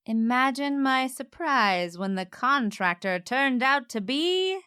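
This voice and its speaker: Young single lawyer lady, high voice, brisk n wry, romcom radio play. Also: expressive, bright, forceful tone, exaggerated voice. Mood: wry narration. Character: guarded, headstrong young single woman lawyer renovating her house. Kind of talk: romantic radio play acting, with first-person narration.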